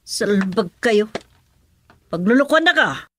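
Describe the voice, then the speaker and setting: A woman's voice: medium pitch, animated, slow, a bit bright, a little hiss or hum. A woman with an Ilocano Filipino accent playing a loyal, plain-spoken underling in a radio drama. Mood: scolding.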